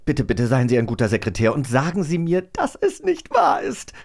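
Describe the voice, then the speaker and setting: A man acts out a pompous mayor from a children's radio play, easily frightened. He pleads and babbles theatrically, his pitch swinging widely from line to line. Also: huge pitch swings, moderate pace, gravelly, exaggerated voice. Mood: nervous pleading.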